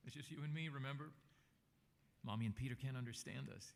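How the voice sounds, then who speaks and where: An actor playing a gentle, worried father who speaks softly and coaxingly to his daughter. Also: mid-range voice, brisk, creaky, close to an everyday voice. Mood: tender. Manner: soft, coaxing.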